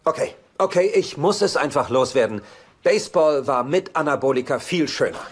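A man's voice: on the high side, lively intonation, normal speed, a little hiss or hum, natural voice. An actor playing a sarcastic, womanizing bachelor uncle in a dubbed sitcom, with a dry manner. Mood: bored sarcasm. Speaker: lead actor in German sitcom dub.

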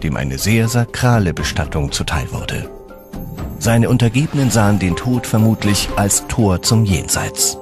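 A man reading scripted documentary narration in a measured, dramatic storytelling way. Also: lowish voice. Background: background music.

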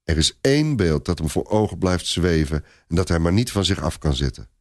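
A man with a very low voice narrates a story dramatically. The recording is dry, with no room sound. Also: animated, a little fast, darkish tone, dry recording.

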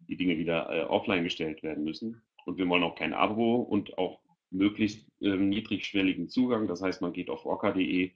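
Middle-aged man, very low voice, informal webinar explainer, searching for words, muffled headset recording. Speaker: panel guest presenting a children's audio portal. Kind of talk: informal presentation in an online webinar. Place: indoor room, video call. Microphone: headset on a video call. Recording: dull lo-fi video-call audio.

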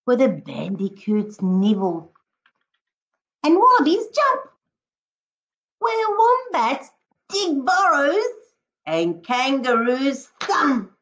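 A woman teacher reading a picture book aloud to children, expressively, addressing them directly and encouragingly with drawn-out emphatic words. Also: sits high, wildly swooping pitch, fairly bright tone. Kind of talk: expressive children's read-aloud with prompting questions.

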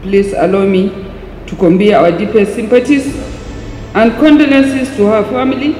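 A middle-aged woman, a parliamentarian, reading a formal eulogy in a solemn, measured way with deliberate phrasing. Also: medium pitch, dark tone. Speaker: parliamentarian giving a tribute. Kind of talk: formal eulogy read from a script. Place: large indoor atrium hall at a memorial ceremony. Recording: echoey hall, long reverb, music behind.

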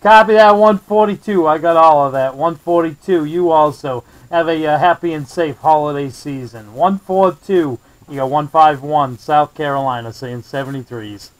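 A man's voice: fairly high pitch, moderate pace, hardly any pauses, slight background noise, low-quality recording. An American man working as a CB radio operator, trading call signs and friendly, courteous sign-offs. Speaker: CB radio operator, video maker. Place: indoor radio desk.

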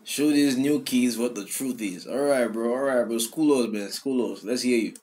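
A man's voice: medium pitch, normal speed, music in the background. A young man hosting a song reaction, talking casually with many fillers and restarts as he repeats lyrics back. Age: young adult. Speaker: music reaction host. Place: small room indoors. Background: song playing in the background.

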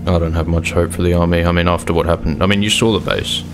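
A man playing a resigned, doubtful zombie apocalypse survivor in an improvised game roleplay, speaking in a low-key, hesitant way. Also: steady tone, fast talker, background noise, natural voice.

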